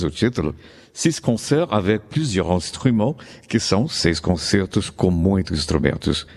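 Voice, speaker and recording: A man's voice: low-pitched, vocal fry, darkish tone, long reverb tail. A man with a Brazilian accent presenting classical music as a radio host.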